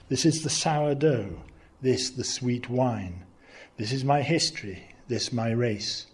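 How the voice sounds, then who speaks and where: A man giving a dramatic poetry recitation in a measured, rhythmic way, swelling at times into loud declaiming. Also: medium pitch, slightly rough. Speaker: poet reading his work.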